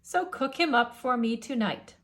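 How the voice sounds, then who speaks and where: A greedy giant from a storybook, acted out by a woman, gloats in slow rhyme. The voice is high for such a monster, impatient and hungry. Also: expressive. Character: greedy many-headed male giant, impatient to eat.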